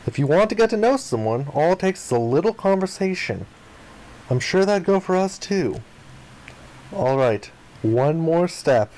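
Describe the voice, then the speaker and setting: A man's voice: on the high side, big pitch swings, moderate pace, darkish tone, slight background noise, rough recording. A man reading visual novel narration and dialogue aloud, acting out the lines as he goes. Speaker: gaming video player reading aloud.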